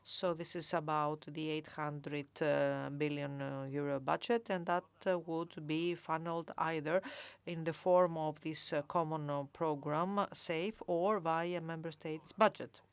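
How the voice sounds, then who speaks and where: A woman with a notably low voice gives a live English interpretation of a politician's speech. She speaks in halting bursts, full of fillers and restarts as she keeps pace with the speaker. The sound is dry and close, with no room echo. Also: fairly even intonation, smooth. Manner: halting, many fillers while rendering the speech. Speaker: conference interpreter.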